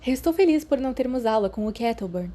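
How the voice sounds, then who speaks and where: A woman's voice: a little high, lively intonation, fast talker, warm tone. A Brazilian woman voicing a chatty teenage schoolgirl, dreamy but a little disapproving, in a storybook reading. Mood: informative. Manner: sighing, matter-of-fact.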